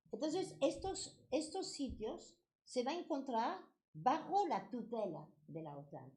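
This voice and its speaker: A woman's voice: on the high side, dark tone. A woman with a French accent giving a passionate political talk at a debate. She often restarts words and hesitates. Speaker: debate speaker.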